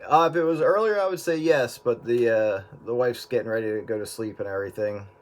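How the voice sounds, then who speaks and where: A man chatting casually over CB radio from his room at home, friendly and relaxed with many fillers. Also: medium pitch, a little fast. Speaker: host, CB radio operator. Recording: loud static hiss.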